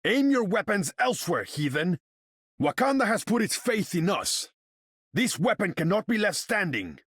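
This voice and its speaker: A man's voice: high-pitched, sing-song, normal speed, fairly bright tone, slightly rough, dry recording, clean studio sound, heavily acted. An actor playing a proud, haughty sea king warrior, speaking in a regal, pompous, theatrical manner as a video game character. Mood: haughty, commanding. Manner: regal, pompous, theatrical declamation in formal speech.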